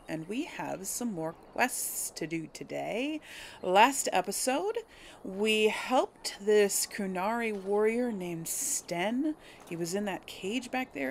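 A woman's voice: medium pitch, vocal fry. Casual chatty woman, noisy background. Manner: chatty, informal, thinking aloud. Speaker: let's player hosting a gameplay series. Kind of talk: casual gameplay commentary to viewers.

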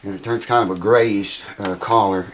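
A man, hoarse and losing his voice, chatting casually to camera in a small home workshop. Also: on the low side, steady tone, normal speed. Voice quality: raspy. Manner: rambling, folksy, swears casually. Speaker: guitar repair vlogger. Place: small cluttered home workshop with mounted animal heads, indoors.

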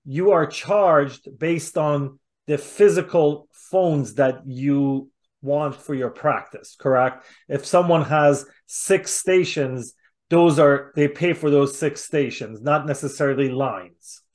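Slightly high-voiced male interviewer. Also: slow, a little mellow. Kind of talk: conversational interview. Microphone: video call.

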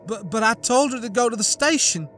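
A man's voice: measured pace, a bit bright, faint music behind. A man reading an audiobook, voicing an injured, grief-stricken female scientist and mother who pleads and wails in pain. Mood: frantic. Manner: pained, pleading, turns frantic and shrill. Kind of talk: audiobook character voice.

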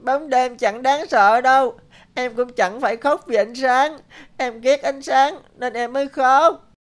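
A woman voicing a lonely, wounded little child in an animated fairy tale, plaintive and tearful. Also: high-pitched, measured pace. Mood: wistful, tearful.

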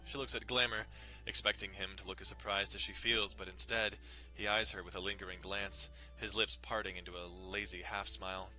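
A man's voice: low-pitched, level tone, a little fast, few pauses, sharp tone, soft background music, rough recording. A man giving a dramatic scripted narration of a visual novel. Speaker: narrator doing dramatic readings.

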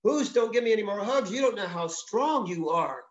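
A man's voice: high voice, animated, normal speed, pitched above the performer's own voice. A man playing a little male songbird who is trusting yet wary, pleading in a lifted, earnest voice. The sound is roomy and muffled.

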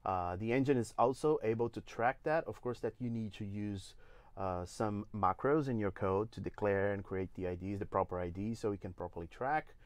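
A man from Brazil with a Brazilian Portuguese accent talks through a live software tutorial. His voice sits a little low, recorded close and dry in a studio. He fills pauses with "uh" and often restarts mid-sentence. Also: no room sound. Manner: explains step by step with frequent "uh" and restarts. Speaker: engine evangelist giving a demo. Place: indoor studio.

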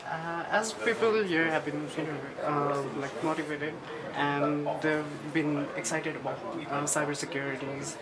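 A fairly high male voice with very loud crowd chatter behind it. He sounds like an informal on-site interviewer, piecing questions together haltingly. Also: fairly even intonation, rough recording. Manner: halting, searching for words. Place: busy indoor conference hall.

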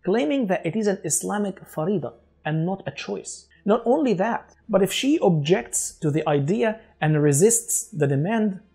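A man in his forties with an Egyptian accent speaks English as a second language to his channel's viewers. His voice is mellow and a little husky, and his delivery is measured and earnest. He was recorded on a lapel mic in a studio. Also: sits high. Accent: Egyptian Arabic. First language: Arabic. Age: 40s. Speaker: channel host. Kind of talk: scripted talk to camera. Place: studio with dark backdrop.

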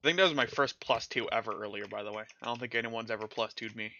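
A man's voice: mid-range voice, fast talker, pushed and bright, muffled recording. A man streaming a game, chatting casually and mocking himself, with a slight stutter at times. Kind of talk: casual chat while playing a game.

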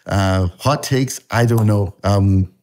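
A man's voice: fairly low pitch, slow, darkish tone. A man in his fifties from Canada, with a Canadian accent tinged with Scottish, chatting casually on a video call, rambling with lots of fillers and restarts. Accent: Canadian with a slight Scottish tinge. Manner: rambling, many fillers and restarts, laughs often. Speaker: guest artist. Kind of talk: casual interview chat. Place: home room at a desk. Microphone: video call webcam.

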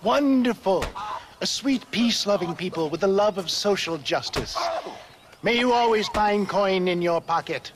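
A man's voice: high-pitched, expressive, normal speed, background noise. An actor playing a florid old snake oil salesman in a Western video game, pompous and theatrical. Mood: grandly sarcastic. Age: older.

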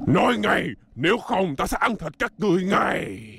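A man voicing a boastful, threatening monster with a gravelly growl in a cartoon dub. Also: sits high, expressive, moderate pace, noisy background. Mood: menacing. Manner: taunting, threatening. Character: boastful menacing monster ruling a dream world. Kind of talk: animated cartoon dub acting.

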